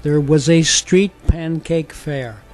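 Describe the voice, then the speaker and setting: A man's voice: on the high side, takes it slowly, darkish tone, faint music behind. A man reading a scripted fairy tale as a storyteller.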